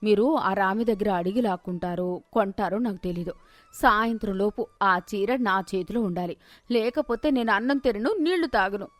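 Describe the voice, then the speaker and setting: A woman's voice: mid-range voice, moderate pace, no echo, close to an everyday voice. A woman voicing a proud, jealous, stubborn housewife who scolds and demands in a storybook tale. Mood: indignant, demanding.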